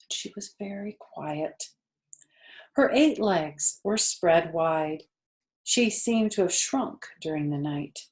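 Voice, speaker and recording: An older woman reading aloud to children as a storyteller, gentle and expressive, with friendly asides. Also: on the low side.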